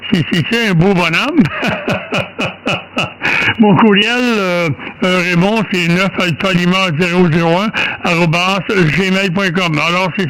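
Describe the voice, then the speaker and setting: A man's voice: sits high, a bit bright, slight background noise, poor audio. A man in his thirties with a Québécois accent, chatting casually and joking over an amateur radio contact, with many hesitations. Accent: Québécois French. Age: 30s. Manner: hesitant, full of fillers and joking.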